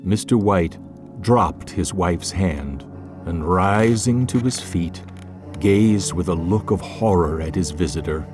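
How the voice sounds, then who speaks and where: A man reading scripted literary narration for an audio drama in a measured way. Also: low voice, music in the background.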